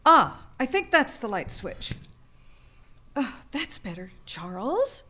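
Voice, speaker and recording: A woman performs an anxious, polite wife for a live radio play. She speaks slowly and hesitantly, with lively swings in pitch and faint noise behind her. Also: full character voice. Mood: anxious, searching. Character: anxious, polite American wife, tourist guest. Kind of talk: live radio drama acting. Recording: live stage recording with background noise.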